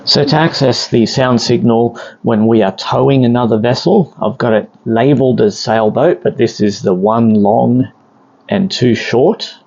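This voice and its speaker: A man's voice: medium pitch, a little slow, creaky, darkish tone, slightly rough, dull audio. A man giving a plain, methodical step-by-step equipment demonstration as an instructor, recorded inside a vessel. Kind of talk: hands-on demonstration, explanatory.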